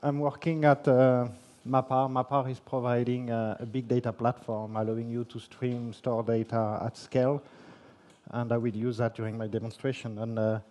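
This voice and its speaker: French man, conference speaker, mellow low-toned voice, halting delivery with fillers. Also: little pitch movement. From France. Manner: halting, many fillers and restarts. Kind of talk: technical conference presentation. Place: conference hall.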